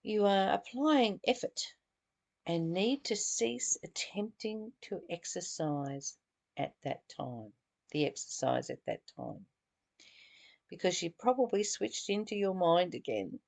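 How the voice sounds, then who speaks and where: A woman's voice: medium pitch, very animated, takes it slowly, vocal fry, a little mellow. A woman reading aloud from a spiritual study text and adding her own earnest, reflective commentary, sometimes stumbling and repeating phrases. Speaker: spiritual teacher leading a book study.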